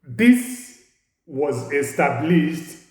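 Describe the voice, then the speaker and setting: Man lecturing slowly in an echoey hall. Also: on the high side, big pitch swings, a little mellow. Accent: Nigerian English. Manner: halting, repeats words, stretches fillers. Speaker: law lecturer. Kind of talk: university lecture. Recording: very echoey room.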